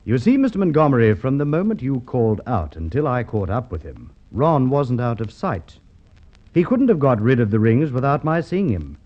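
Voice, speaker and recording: An actor playing a brisk, shrewd male police inspector, in vintage radio drama style. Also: medium pitch, big pitch swings, lo-fi sound. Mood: shrewd, accusing. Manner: commanding, measured questioning. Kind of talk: Golden Age radio drama acting.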